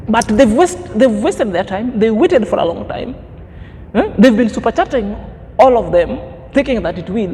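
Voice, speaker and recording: A man's voice: sits high, a little mellow, big-room sound, slight background noise. A man in his thirties giving an opinion commentary as a vlogger, preachy and emphatic, fond of grand words. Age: 30s.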